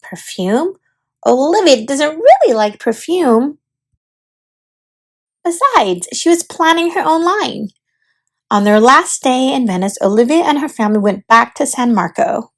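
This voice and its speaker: Casting: female read-aloud storyteller, high register, lively melodic swings, deliberate delivery for children's books.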